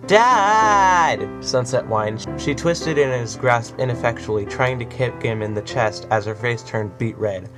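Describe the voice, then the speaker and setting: A man narrating a story in an expressive, animated reading. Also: medium pitch, moderate pace, hardly any pauses. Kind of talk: audiobook reading of fan fiction. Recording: soft music behind.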